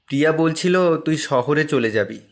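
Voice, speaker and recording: A man playing a sweet, earnest teenage schoolboy in an audio love story, gentle and coaxing. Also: a little high, animated, moderate pace. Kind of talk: Bengali audio story acting.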